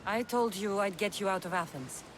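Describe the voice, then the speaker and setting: A woman's voice: medium pitch, moderate pace, background noise, natural voice. An actress playing a bold, blunt female mercenary in a video game, speaking firmly and with command. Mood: resolute. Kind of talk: video game cutscene acting.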